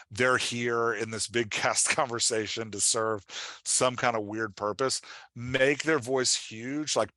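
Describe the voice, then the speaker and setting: A man's voice: medium pitch, sharp tone, dry recording. A man working as a writing coach, answering questions in an informal, emphatic, conversational way with casual examples.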